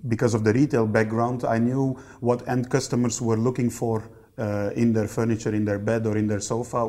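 A man in his thirties with a Belgian accent, answering questions as a podcast guest in a conversational, somewhat halting way. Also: fairly low pitch, mellow. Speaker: interview guest, company general manager.

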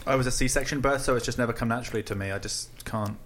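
A man in casual, halting improv comedy banter. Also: medium pitch. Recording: live room with loud crowd.

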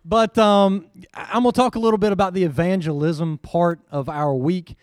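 A man's voice: high-pitched, fairly even intonation, normal speed, dry recording, studio quality. A man giving an earnest personal testimony to a church audience, with plenty of ums along the way. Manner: earnest, storytelling, with frequent fillers. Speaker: mission team member giving a report.

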